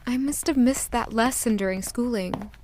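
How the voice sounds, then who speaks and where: A woman's voice: a little high, normal speed, darkish tone, a little hiss or hum, natural voice. A woman playing a polite, curious, easily flustered young noblewoman in an audio drama, earnest and eager. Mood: musing, self-doubting. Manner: earnest, courteous. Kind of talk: audio drama acting with inner monologue. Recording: music behind the voice.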